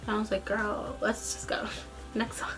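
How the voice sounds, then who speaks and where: A young woman telling a story in a casual, rambling vlog style, animated, with a slightly tired, tense voice. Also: medium pitch, moderate pace, gravelly, over music, low-quality recording. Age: young adult. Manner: animated, rambling, acts out quoted dialogue. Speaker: student singer and vlogger.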